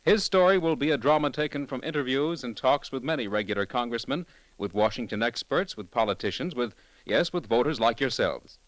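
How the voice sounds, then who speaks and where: A man's voice: mid-range voice, animated, no room sound, low-quality recording. Dry-sounding, formal vintage radio announcer man. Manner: formal, polished, measured delivery. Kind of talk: 1940s scripted radio announcing. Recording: dry vintage radio broadcast, faint music.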